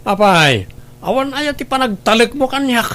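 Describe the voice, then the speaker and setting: A man's voice: very high-pitched, animated, moderate pace, bright, forceful tone, exaggerated voice. A man acting a young boyfriend, cocky and pleading by turns, in an Ilocano radio drama. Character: reckless cocky young boyfriend on motorbike, later remorseful. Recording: noisy radio drama track.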